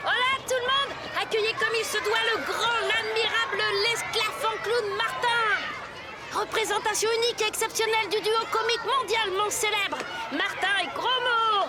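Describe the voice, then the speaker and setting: A woman's voice: very high voice, steady tone, normal speed, over a noisy crowd, very noisy. A woman voicing a boisterous, teasing schoolboy sidekick with a showy manner in a French cartoon. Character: boisterous, teasing schoolboy best friend of a young hero. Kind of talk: French animated series voice acting. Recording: music behind the voice.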